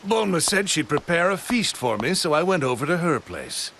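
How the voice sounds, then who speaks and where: A man's voice: a little high, very animated, moderate pace, a little hiss or hum. A man voicing a vain, indifferent cat god of destruction, haughty and offhand, in an anime game. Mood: bored indifference. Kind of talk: English anime game dub acting. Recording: loud music behind.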